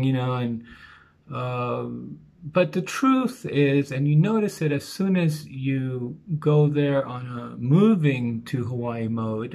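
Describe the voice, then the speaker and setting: A man with a rough, gravelly edge in his voice tells his own story at an ordinary pitch. He rambles and circles back, filling pauses with ums and uhs. It sounds like a casual, unscripted personal video. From United States. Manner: rambling, reflective, many fillers and restarts. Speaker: vlogger. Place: outdoors near a bus stop in a small Italian town.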